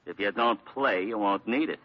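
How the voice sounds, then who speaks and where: A man's voice: lowish voice, moderate pace, natural voice. Actor as a terse, menacing mystery man, dull recording. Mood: cool menace. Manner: terse, controlled, coolly threatening. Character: cool, menacing mystery man making veiled threats. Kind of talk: 1940s radio crime drama acting. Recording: dull, faintly noisy old radio recording.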